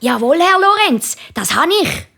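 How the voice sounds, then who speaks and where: Woman putting on a high, cheeky little Swiss kid. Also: moderate pace, pushed and bright. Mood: earnest, eager. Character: cheeky, naive little schoolchildren in jokes.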